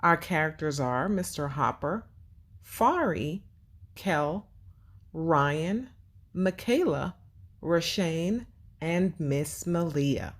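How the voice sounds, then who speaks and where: A woman hosting a children's read-aloud and lesson, speaking expressively and addressing young listeners directly. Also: on the low side.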